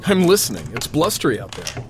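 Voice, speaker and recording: An actor playing a polite, eager young English son in an audio drama. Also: male voice, medium pitch, huge pitch swings, fairly quick, music in the background.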